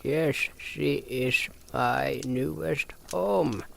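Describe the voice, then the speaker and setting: A man's voice: mid-range voice, extremely slow, gravelly, a bit bright, a little hiss or hum, voice pushed up, heavily acted. A man voicing a small, kindly old frog-like hermit healer who speaks in short phrases of broken grammar, in a fantasy audio story. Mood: calm, matter-of-fact.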